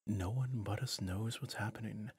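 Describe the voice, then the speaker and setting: Man acting a husky, weary fantasy villain. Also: on the low side, darkish tone, no echo, studio quality. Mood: wistful, lonely. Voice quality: breathy, husky, often near a whisper. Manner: slow, brooding, confiding with pauses. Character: weary, lonely male fantasy villain, resigned and tender. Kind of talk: intimate ASMR roleplay drama.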